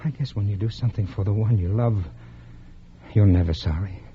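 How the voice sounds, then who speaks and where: An actor playing a nervous, love-struck killer with a hesitant, stammering manner in a vintage radio drama. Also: male voice, on the low side, brisk, natural voice. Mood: uneasy, devoted. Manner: hesitant, stammering, repeating words. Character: besotted, nervous lover turned killer, betrayed by her. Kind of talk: 1940s-1950s American radio suspense acting. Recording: muffled, noisy old radio recording.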